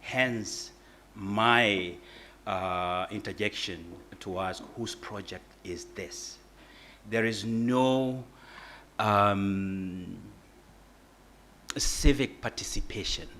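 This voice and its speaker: A man speaking as a panelist, measured and pausing with fillers. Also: lowish voice, pushed and bright, a little hiss or hum. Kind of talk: panel discussion talk.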